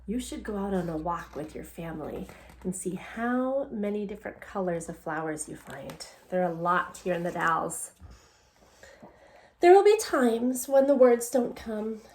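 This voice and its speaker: A woman teacher reading a picture book aloud to young children, expressively and deliberately, with conversational asides and questions to her listeners. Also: medium pitch, lively intonation, darkish tone, slight background noise. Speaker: elementary English language development teacher.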